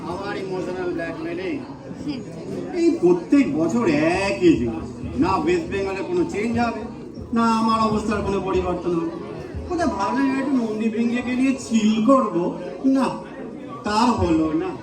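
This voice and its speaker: A man's voice: high voice, moderate pace, crowd noise, noisy background, lightly acted. A man playing a grumbling, reluctant god husband and father in an amateur comic stage play. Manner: grumbling, exasperated. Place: stage in a large hall. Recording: echoey stage hall, distant mic, loud music, audience.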